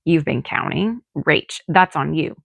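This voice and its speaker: A frustrated, pleading husband in an audiobook argument , read by a woman. The voice is creaky and very dry, pushing back defensively. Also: sits low, animated, measured pace, no echo, full character voice. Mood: exasperated. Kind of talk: audiobook character dialogue.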